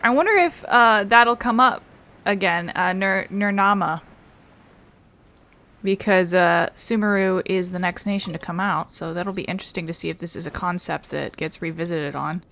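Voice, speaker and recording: A woman's voice: fairly low pitch, normal speed, sharp tone. Chatty woman doing casual game commentary. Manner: chatty, talks to game characters. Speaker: let's-play host.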